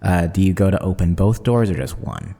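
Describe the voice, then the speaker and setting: A man narrating a horror tabletop game as game master, with measured, dramatic storytelling. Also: sits low, warm tone. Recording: long reverb, music underneath.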